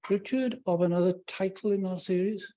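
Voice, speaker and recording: A man's voice: sits high, a little fast, warm tone. An older man with a Welsh accent, whose first language is Welsh, gives an earnest, emphatic vote of thanks after a lecture. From Wales. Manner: earnest and emphatic, with dry asides. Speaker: lecture respondent giving thanks. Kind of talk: formal public vote of thanks. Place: lecture hall.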